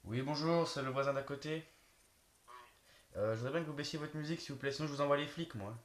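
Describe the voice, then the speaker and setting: A man's voice: a little high, fast. A young man bantering casually in slangy, teasing, sweary speech while hosting prank phone calls. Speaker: prank call host. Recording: echoey room with clicks and noise.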